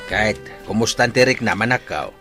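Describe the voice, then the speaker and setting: An actor playing a lively, plain-spoken, fatherly older man in an Ilocano audio drama. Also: fairly low pitch, fairly quick, over music, lightly acted. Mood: casual. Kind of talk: Ilocano radio-style audio drama acting.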